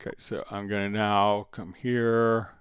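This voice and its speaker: A man with a fairly low voice hosts a software lesson, explaining each step casually as he does it. He is recorded close and dry, with very little room sound. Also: flat delivery, measured pace, clean tone, no room sound. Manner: talks through each step as he works. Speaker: host answering viewer questions on a software tutorial stream.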